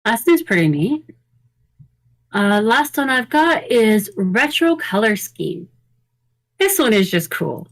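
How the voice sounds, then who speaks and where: A woman's voice: a little high, big pitch swings, slow pace, long pauses. A woman co-hosting a podcast, giving casual, opinionated reviews in an informal conversational way. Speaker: podcast co-host. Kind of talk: casual product review chat.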